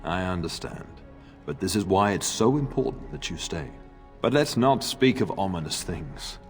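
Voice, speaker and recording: An actor playing a middle-aged noble lord and father in a video game, fond but grave, speaking in a formal, measured way. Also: sits low, lively intonation. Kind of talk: video game cutscene acting. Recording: long reverb, music underneath.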